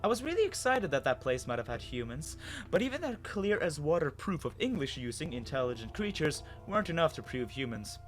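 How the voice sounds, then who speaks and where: A man narrating a first-person story in an expressive, dramatic way with emphatic pauses. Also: fairly high pitch.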